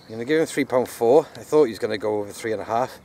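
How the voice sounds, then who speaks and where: A man's voice: medium pitch, very fast, warm tone. Bloke in his 40s chatting on a fishing bank, bit far from the mic, faint noise. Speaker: angler. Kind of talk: casual chat. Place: grassy bank of a fishing lake, outdoors. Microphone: camera a few steps away. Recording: distant outdoor camera audio, faint noise.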